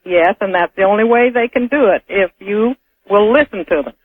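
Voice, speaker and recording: An American woman speaks as a radio caller, halting and argumentative. Her voice comes dry over a dull telephone line, as heard on AM radio. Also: mid-range voice, dry recording. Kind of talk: unscripted opinionated phone talk.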